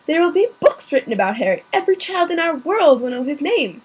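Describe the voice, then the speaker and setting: A woman's voice: high-pitched, very animated, brisk, voice pushed up. A woman reading aloud and voicing a stern, anxious older female professor who speaks sharply. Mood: worried.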